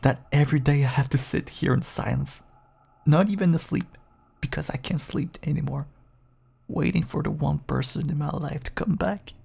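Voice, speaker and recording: A man playing a caged feral vampire who pleads clingily and bitterly with an estranged partner in an intimate dramatic audio roleplay, his voice husky and gravelly. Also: a little high, moderate pace, full character voice. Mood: aggrieved, complaining. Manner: clingy, pleading, swinging from hushed endearments to bitter outbursts.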